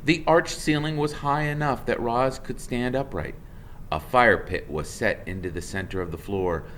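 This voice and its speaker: Male storybook narrator, teacher reading aloud, unhurried and forceful, faint noise behind him. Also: low-pitched.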